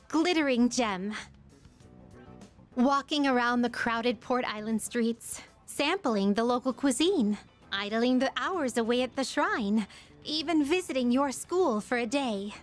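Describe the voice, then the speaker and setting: A woman voicing a poised, curious otherworldly young woman in a video game, speaking very formally and deliberately. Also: on the high side. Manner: elegant, formal, deliberate wording.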